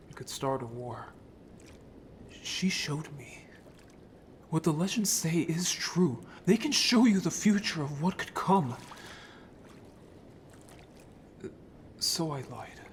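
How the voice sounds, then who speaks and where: A man's voice: on the high side, expressive, takes it slowly, long pauses, a little hiss or hum. A man playing a guilt-ridden, anxious young merman in a fantasy audio drama, speaking hesitantly and emotionally. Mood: subdued, regretful. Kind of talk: scripted audio drama acting.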